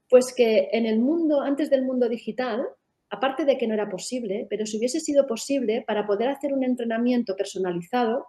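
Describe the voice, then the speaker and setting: Woman, middle-aged, webinar talk, mellow voice, fairly high, explanatory with tag questions. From Catalonia. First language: Catalan. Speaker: method founder presenting in a webinar. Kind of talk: webinar presentation.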